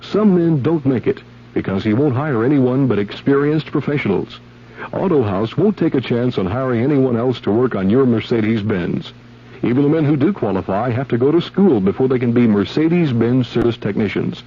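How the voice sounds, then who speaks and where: A man's voice: mid-range voice, expressive, moderate pace, husky. An American man reading a scripted 1970s radio commercial as the announcer. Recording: dull old radio recording.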